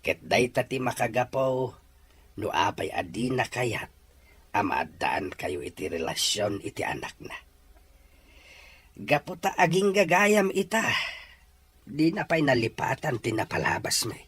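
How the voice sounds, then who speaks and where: Audio drama casting: man as humble middle-aged Filipino father, earnest and sorrowful, lively intonation. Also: mid-range voice, unhurried, long pauses, slightly rough. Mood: sad, resigned. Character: regretful, pleading middle-aged father, once too poor. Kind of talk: Ilocano audio drama acting.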